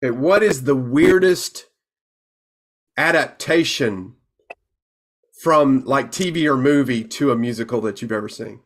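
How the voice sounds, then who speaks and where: A man's voice: medium pitch, a little slow, long pauses. A man hosting a panel chat and asking the others questions. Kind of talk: casual chat.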